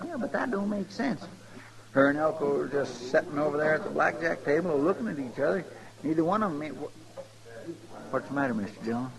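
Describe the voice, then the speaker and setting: An actor playing a simple, earnest deputy sidekick with a folksy rural Western American drawl in a radio drama. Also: male voice, on the high side, lively intonation, brisk, creaky, low-quality recording. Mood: puzzled, worried. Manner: drawling, puzzled, deferential. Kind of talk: old-time radio Western drama acting. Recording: saloon crowd murmur behind.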